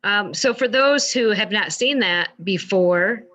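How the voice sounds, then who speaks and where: A woman's voice: medium pitch, measured pace, a bit bright. A woman informally moderating a virtual event as its host over a video call. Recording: slightly dull video-call audio.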